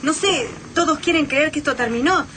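Actress doing argentine woman resistance fighter in radio play, high voice, practical and wary, noisy background. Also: a little fast, fairly bright tone. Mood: wary, determined. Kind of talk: radio drama acting. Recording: radio drama with clear background noise.

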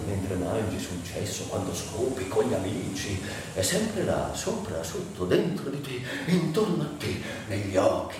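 A man performing a theatrical literary monologue for radio, brooding, in broken phrases with dramatic swings. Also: mid-range voice. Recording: very echoey room, distant, faint noise.

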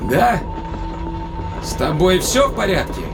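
A man playing an excitable, anxious spaceship captain in a science-fiction audio drama, talking in an emphatic, colloquial way. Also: high voice, unhurried, creaky, over loud music, pitched above the performer's own voice, exaggerated voice. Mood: animated, chatty.

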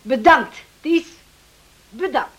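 A determined island mother in a radio drama. Her voice is high and very animated in pitch. She speaks earnestly and presses her point. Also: slow pace, music in the background. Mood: grateful. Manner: earnest, insistent. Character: determined island mother pleading to join a sea rescue. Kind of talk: Dutch radio drama acting.

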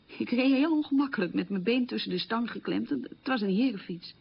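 A resilient woman looking back on hard times in a radio play. Her voice is very husky with plenty of creak, and she tells it plainly and without hurry. The recording is dull and lo-fi. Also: fairly high pitch, expressive, brisk, exaggerated voice. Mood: matter-of-fact. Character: resilient woman recalling a poor, hungry wartime childhood. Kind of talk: radio documentary-drama monologue, recounting memories.

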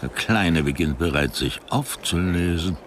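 An actor playing a grumbling, eccentric insect researcher in a conversational radio play scene. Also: male voice, sits low, animated, measured pace. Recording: clear ambient noise behind the voice. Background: ambient noise.

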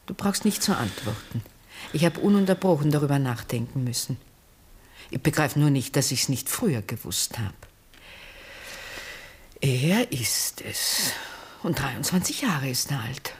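An actress in a 1960s German radio play, playing an emotional, wounded middle-aged former lover with an Austrian accent and theatrical delivery. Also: female voice, very deep voice, very animated, unhurried, pauses often, slightly rough, heavily acted. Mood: hushed, stunned. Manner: dramatic, swinging between hush and outburst.

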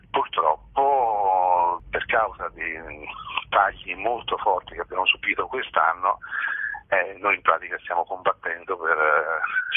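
A man's voice: deep voice, breathy edge. A man in his fifties answering interview questions as a stage actor, in a hesitant, thoughtful way, searching for words. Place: phone interview. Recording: muffled roomy telephone line.

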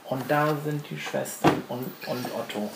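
A man improvising narration as a tabletop game master, with a halting, hesitant delivery. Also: mid-range voice. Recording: echoey room with clear background noise.

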